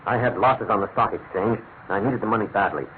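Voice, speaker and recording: A man playing a desperate, frightened English gentleman colonel in an old-fashioned radio drama, agitated and pleading, with a British accent. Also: fairly low pitch, fairly quick, natural voice. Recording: tinny, narrow-band old radio, faint hiss.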